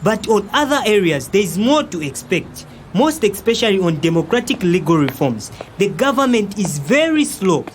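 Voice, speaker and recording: An indignant young Zambian man in an audio drama, played by an actor, pressing his point emphatically with lots of interjections. His pitch swings in a lively way, and some background noise sits behind him. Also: normal speed, few pauses. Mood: frustrated. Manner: argumentative, emphatic, frequent interjections.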